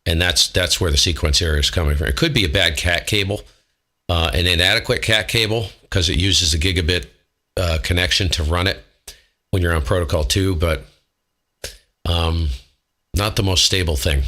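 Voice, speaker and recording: A man's voice: low voice, moderate pace, bright, forceful tone. A man chatting casually as an amateur radio operator, in a blunt, slangy way. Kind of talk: casual ham radio chat.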